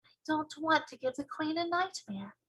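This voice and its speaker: A woman voicing a small young girl in a storybook, earnest and plucky, with a soft, polite, questioning way of talking. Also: high-pitched, lively intonation, normal speed, voice pushed up. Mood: reluctant, worried.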